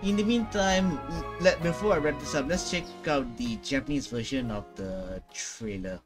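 A man's voice: on the high side, normal speed, over music. A young adult man giving casual reaction commentary as a gaming host, recorded indoors on a close mic. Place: small indoor room.

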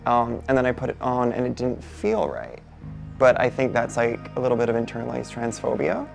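A non-binary speaker with a male-sounding voice in their twenties, from Barbados, answering interview questions in a thoughtful, unhurried way with many soft fillers. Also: mid-range voice, lively intonation, creaky, faint music behind. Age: 20s. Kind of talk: reflective personal interview. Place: indoor studio before a painted backdrop.

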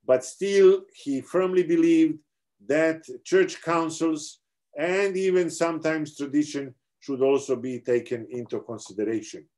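A middle-aged man with a Serbian accent lectures on theology from a home office over a video call. His voice sits a little high, and he explains each point earnestly, often restarting a sentence mid-thought. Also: measured pace, darkish tone. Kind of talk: online seminar lecture.

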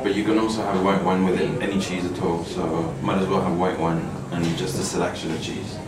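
A man's voice: low-pitched, little pitch movement, a little fast, very noisy. A man in his thirties who works in a cheese shop, explaining the products in a plain, matter-of-fact way with some hesitation. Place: busy cheese shop, indoors. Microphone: camera mic from a distance. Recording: echoey shop recorded from far off with loud crowd.

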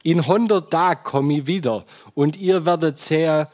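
A man's voice: fairly high pitch, normal speed, darkish tone, lightly acted. A man playing a confident, boastful young farmer's son in a fairy-tale audio story.

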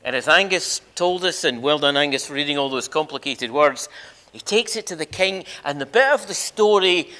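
An older man preaching as a minister, telling a story emphatically with dramatic pauses and repeated phrases in a large church. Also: a little high, animated, sharp tone. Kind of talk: sermon, storytelling to a congregation. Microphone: lectern microphone. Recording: reverberant church hall.

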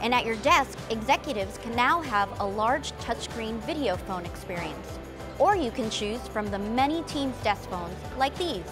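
A woman's voice: fairly high pitch, lively intonation, normal speed, music in the background. A woman giving a cheerful, scripted product tour at a trade show booth. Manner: cheerful, promotional. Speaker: presenter in a product preview video.

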